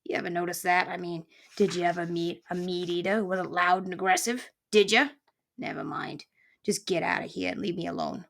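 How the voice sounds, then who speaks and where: A woman voicing a cantankerous old male porcupine in a storybook, growling colorful, folksy insults in a gravelly voice. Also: on the low side, steady tone, brisk, fairly bright tone. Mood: scornful, irritated. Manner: barking colorful insults, folksy slangy speech.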